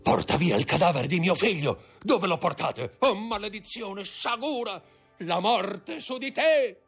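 A man voicing a desperate royal prosecutor, undone by grief and terror, his husky voice cracking upward into shrill cries. Every line is pushed hard and high, far above the reader's own low register. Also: lively intonation, unhurried, soft background music, heavily acted. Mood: raging.

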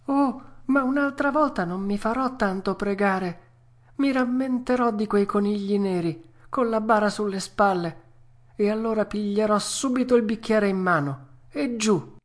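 A woman's voice: mid-range voice, a little slow, voice pushed up, natural voice. A woman voicing a stubborn, whiny little wooden puppet boy in a storybook, childish and dramatic in manner. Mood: chirpy, contrite. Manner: wailing, exclamatory, childish protesting. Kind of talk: storybook character voice.

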